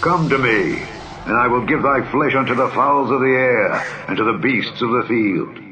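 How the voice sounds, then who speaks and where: A man voicing a scornful giant male warrior, slow and mocking. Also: mid-range voice, over music, natural voice, poor audio.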